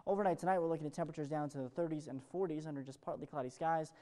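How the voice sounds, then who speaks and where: A man's voice: on the high side, fairly even intonation, very fast, mellow. An American man presenting an ad-libbed television weather forecast in an upbeat, chatty way.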